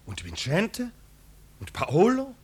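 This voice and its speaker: Impatient marquis, wildly swooping intonation. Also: male voice, high voice, wildly swooping pitch, takes it slowly, a little mellow, slightly rough, heavily acted. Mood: impatient, agitated. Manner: curt, commanding, sardonic. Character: impatient, melancholy aristocratic marquis, master of the house. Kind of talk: 1950s German radio drama acting. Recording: 1950s radio recording with hiss.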